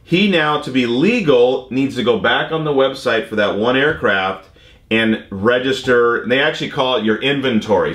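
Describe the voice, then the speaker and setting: An American man in his fifties talks in an informal, explanatory way, like a vlog host walking viewers through details. His voice sits at an average pitch, and the room around him adds clear echo. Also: fairly bright tone. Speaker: vlog host, commercial drone pilot. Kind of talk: conversational explainer. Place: indoor workshop or studio room. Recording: roomy, echoing indoor sound.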